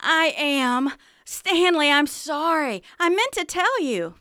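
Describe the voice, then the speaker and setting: A woman's voice: sits high, sing-song, takes it slowly, pushed and bright, no room sound, studio quality, full character voice. A woman playing a sweet, coaxing, apologetic undead Southern girlfriend in a comic radio play. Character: sweet, apologetic young Southern nurse girlfriend, secretly dead. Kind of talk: comic radio theater acting.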